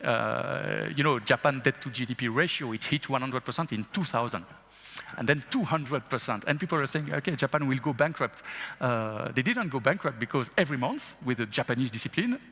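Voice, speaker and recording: A man's voice: medium pitch, lively intonation, brisk, creaky, pushed and bright. A man speaking as a bank investment chief on stage at a fireside chat, answering with rhetorical questions and frequent laughs. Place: event stage in a large hall. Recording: long hall reverb.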